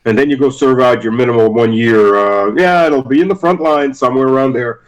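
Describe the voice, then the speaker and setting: A middle-aged man, a former army officer, talking as an interview guest in a halting way with frequent restarts. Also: medium pitch, low-quality recording. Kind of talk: casual interview chat.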